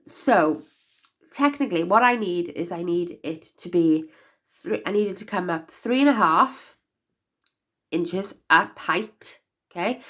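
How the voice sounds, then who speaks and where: A young woman talks through a craft project in a loose, chatty way, full of "um" and "like". Her voice sounds stuffy and nasal from a cold. She keeps a relaxed, unscripted flow as she thinks out loud. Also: fairly low pitch. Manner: chatty, rambling with many fillers. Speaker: crafter demonstrating box making. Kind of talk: casual craft-along chat.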